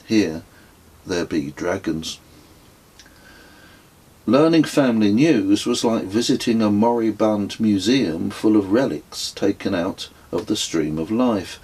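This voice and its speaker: A middle-aged man narrates a reflective travel memoir in his own words. His voice is fairly low and husky, and he takes his time over each phrase. The delivery is measured and literary, with a dry, wry edge. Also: animated, a little mellow, rough recording. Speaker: author reading own memoir.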